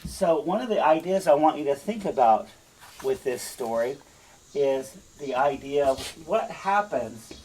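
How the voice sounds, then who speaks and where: A man with a husky voice, speaking as a teacher in an emphatic, deliberate storytelling style, checking in with listeners. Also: medium pitch, animated, a little mellow, low-quality recording. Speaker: teacher reading and explaining a fairytale. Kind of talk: storytelling read-aloud with explanatory asides.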